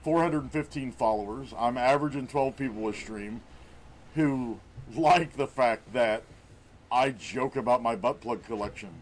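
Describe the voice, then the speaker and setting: A man's voice: medium pitch, measured pace, poor audio. A man in his forties, a guest streamer on a casual podcast, talking in a crude, joking, rambling way full of restarts. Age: 40s.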